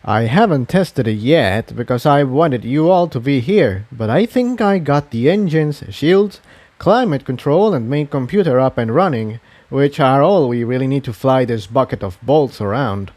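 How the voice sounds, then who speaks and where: A man's voice: medium pitch, lively intonation, normal speed, a little mellow, natural voice. A man voicing an upbeat male unicorn tinkerer in a fantasy story, explaining things in a reassuring manner. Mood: pleased.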